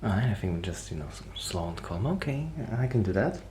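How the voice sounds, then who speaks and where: A man playing a shy, modest musician friend in a hesitant, soft-spoken ASMR roleplay. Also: sits low, very animated, a little hiss or hum. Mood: modest, obliging. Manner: hesitant, halting, apologetic.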